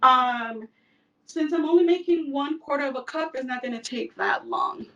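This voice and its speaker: High-pitched woman from el salvador chatting thru a cooking demo at home, lots of ums, sounds a bit far from the mic. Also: moderate pace, sharp tone, dull audio, a little hiss or hum. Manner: chatty, frequent fillers. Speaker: cooking instructor. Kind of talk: casual cooking demonstration. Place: home kitchen.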